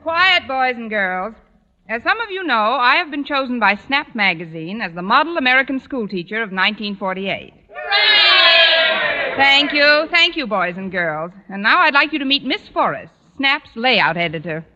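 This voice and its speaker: An actress playing a wry, wisecracking high school teacher with dry, sardonic delivery in a 1940s radio comedy. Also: female voice, sing-song, sharp tone, full character voice. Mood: addressing a class. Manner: dry, sardonic, quick with a quip. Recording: dull, noisy 1940s radio recording.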